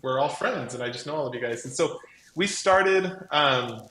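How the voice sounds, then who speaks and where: A man's voice: medium pitch, lively intonation, moderate pace, pushed and bright. A man with a voice that carries, chatting casually and effusively as a guest on a live stream, telling his own story with lots of "like". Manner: chatty, effusive, peppers talk with "like". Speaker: guest, crafting company co-founder. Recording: roomy echo, faint background noise.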